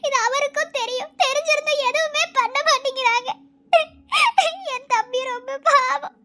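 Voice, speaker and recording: A woman's voice: very high-pitched, level tone, a little fast, bright, forceful tone, a little hiss or hum, pitched above the performer's own voice. A woman voicing a tearful young wife grieving for her brother, speaking through sobs. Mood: anguished. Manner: sobbing, pleading. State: crying.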